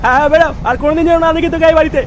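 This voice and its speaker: A man voicing an irascible, foul-mouthed parent in a comedy cartoon, scolding and bullying in a pitched-up voice. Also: fairly even intonation, racing. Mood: angry scolding. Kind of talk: exaggerated comedy cartoon acting. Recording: noisy track with street and vehicle ambience.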